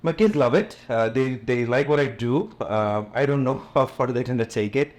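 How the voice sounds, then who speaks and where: A man's voice: mid-range voice, moderate pace. A middle-aged man raised in the USA, a Gujarati native speaker and event promoter, chatting in an interview and switching between English and Hindi with easy fillers. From USA, born in India. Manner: relaxed, mixes English with Hindi, frequent fillers and light laughs. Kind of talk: casual interview conversation.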